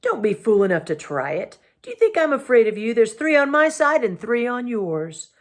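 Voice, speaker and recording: A woman's voice: fairly high pitch, very animated, moderate pace, pitched above the performer's own voice. A defiant male dwarf rebel from a fantasy storybook, acted out by a woman. He argues bitterly in a lifted, emphatic voice, cold and full of scorn. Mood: taunting. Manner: sneering, argumentative, emphatic.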